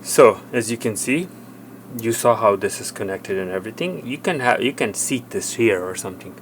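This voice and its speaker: A man presenting an unscripted technical demonstration, explaining step by step in a halting, plain way. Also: lowish voice, lively intonation, a little hiss or hum, rough recording. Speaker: tech tutorial presenter. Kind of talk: hands-on product demonstration, unscripted.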